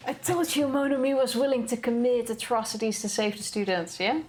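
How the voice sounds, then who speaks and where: A young Dutch woman co-hosting a game stream, bantering quickly and laughing often. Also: on the high side. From Netherlands. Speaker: let's play co-host. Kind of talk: casual gaming stream chat.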